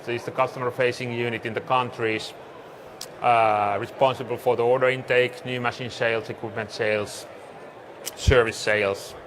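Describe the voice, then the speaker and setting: A man's voice: medium pitch, a bit bright. A man from Finland with a Finnish accent gives a business presentation as a conference speaker. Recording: clearly noisy live room recording.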